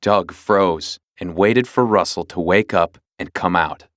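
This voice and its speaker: A man narrating a children's read-along storybook in an expressive, dramatic storytelling manner. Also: sits low, unhurried.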